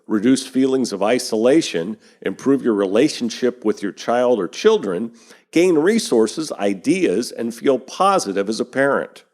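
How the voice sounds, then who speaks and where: A man hosting an industry podcast, reading news items and a guest introduction from a script. His pitch sits in an ordinary middle range, and his delivery is steady. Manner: steady read-aloud delivery. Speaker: podcast host.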